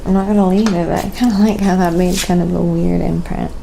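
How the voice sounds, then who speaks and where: A soft-spoken, sometimes whispery woman chatting casually on an ASMR painting livestream, musing aloud in an unhurried way. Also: on the low side, fairly even intonation, gravelly, a little hiss or hum.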